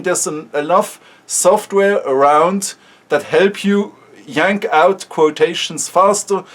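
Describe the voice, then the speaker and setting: Swiss-accented man, casual vlog monologue, direct and earnest, voice sits fairly high. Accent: Swiss German. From Switzerland. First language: Swiss German. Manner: earnest, frank, with restarts. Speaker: maker sharing experience.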